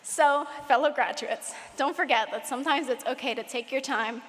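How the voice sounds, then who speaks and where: A teenage girl giving a prepared valedictorian speech at a graduation, measured and lightly humorous. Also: high-pitched, a bit bright, a little hiss or hum. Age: late teens. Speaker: valedictorian speaker. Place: large hall at a graduation ceremony. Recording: echoey hall with long reverb.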